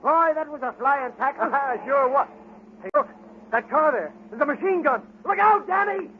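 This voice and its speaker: An actor playing a tough, excitable newspaper reporter with punchy, urgent delivery in a 1940s radio drama. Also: male voice, very high-pitched, normal speed, slight background noise. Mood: excited, urgent. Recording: muffled narrow 1940s radio recording.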